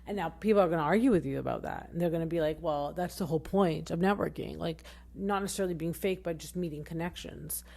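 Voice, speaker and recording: Expressive woman hosting a rambling recap. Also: quick pace, creaky, hardly any pauses. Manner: very expressive, voice swinging high and low; rambling, with many fillers and restarts. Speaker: podcast host. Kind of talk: casual reality TV recap.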